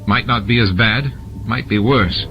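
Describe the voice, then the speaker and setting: A practical chief engineer read by a male narrator talks bluntly and plainly. It is science fiction audiobook dialogue, with music clearly playing behind the voice. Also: lowish voice, measured pace, lo-fi sound. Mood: musing. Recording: music bed behind the reading.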